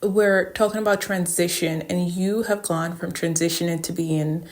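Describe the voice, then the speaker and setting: Low-voiced woman asking measured questions. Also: steady tone. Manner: measured, probing. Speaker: interviewer. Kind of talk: interview questions.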